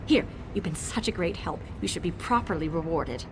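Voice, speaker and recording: A woman's voice: fairly low pitch, lively intonation, a little fast, noisy background, close to an everyday voice. A woman voicing an eager, boastful young female mage tinkerer in a video game, dramatic and nervously evasive. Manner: dramatic, self-congratulating, hesitant when cornered. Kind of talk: video game character dialogue.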